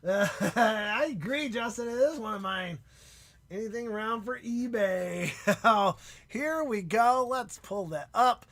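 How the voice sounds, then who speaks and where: A man hosting a live stream in casual chat, excitable and prone to loud shouts and laughter, and losing his voice. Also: sits high, a little slow. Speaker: livestream host.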